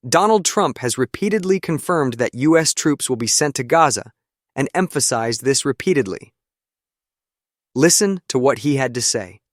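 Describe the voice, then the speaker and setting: A man narrating news clips in a brisk, critical voice-over. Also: medium pitch, expressive, no room sound, studio quality. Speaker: news commentator.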